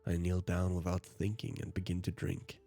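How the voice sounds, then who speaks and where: A man's voice: low voice, completely flat delivery, normal speed, mellow. A man whose native language is German, hosting a let's play and reading visual novel narration aloud.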